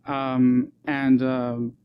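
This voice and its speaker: Soft-spoken man. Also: medium pitch, completely flat delivery, lo-fi sound, slight background noise. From Argentina. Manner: soft-spoken, laughs often, many um and uh pauses. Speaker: guest. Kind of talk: casual interview talk.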